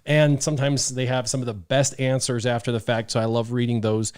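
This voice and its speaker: A man's voice: medium pitch, little pitch movement, fairly quick, a little mellow, smooth. A man hosting a casual livestream, upbeat and talking straight to the viewers. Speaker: livestream host.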